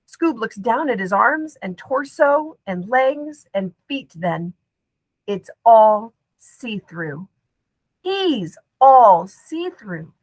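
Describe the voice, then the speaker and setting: Woman, audiobook narrator, bright higher voice, slow dramatic delivery, dry sound. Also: dry recording, low-quality recording. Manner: dramatic, acts out dialogue. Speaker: audiobook reader. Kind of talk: expressive scripted children's story narration.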